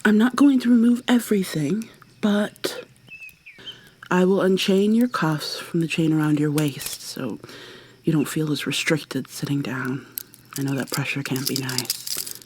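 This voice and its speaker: A woman playing a tender, possessive kidnapper in an ASMR roleplay, speaking softly and haltingly, often slipping into a breathy whisper as she coaxes. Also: sits low, slight background noise. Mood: coaxing, tender. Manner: coaxing, gentle, halting. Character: tender, possessive female kidnapper doting on her captive lover.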